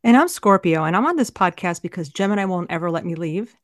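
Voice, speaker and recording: A woman's voice: fairly low pitch, animated, fast, no room sound, clean studio sound. A woman co-hosting a podcast, chatting casually with playful jokes. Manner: joking, playful. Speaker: podcast co-host.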